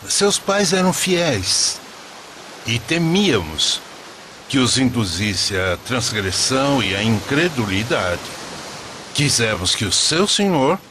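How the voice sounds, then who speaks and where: A man narrating a scripted religious story in a solemn, measured storytelling manner. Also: medium pitch, fairly bright tone, a little hiss or hum.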